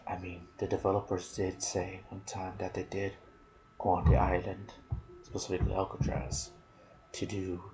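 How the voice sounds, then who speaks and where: A man giving soft-spoken ASMR commentary on a video game, slipping into whispers and pausing between short phrases. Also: low voice, low-quality recording. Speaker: gaming channel host.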